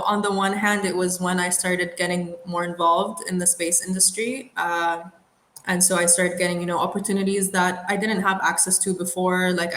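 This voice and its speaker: A young woman from the Middle East, an aerospace engineer, telling her story in a thoughtful, unhurried way with light laughs. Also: on the low side, fairly bright tone. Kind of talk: reflective interview storytelling. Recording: roomy with faint background noise.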